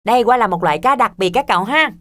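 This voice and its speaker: An earnest, polite child hero from a children's cartoon, voiced by a woman. The voice is fairly high and childlike, that of a bright little leader who likes to help. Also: lively intonation, quick pace, very noisy, natural voice. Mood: friendly.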